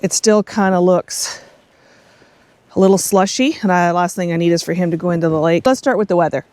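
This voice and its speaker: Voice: fairly even intonation, brisk, darkish tone, dry recording. A middle-aged Canadian vlogger narrating casually and candidly, thinking aloud with a wry, rambling manner. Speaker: outdoor travel vlogger. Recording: noisy, vehicle and outdoor rumble.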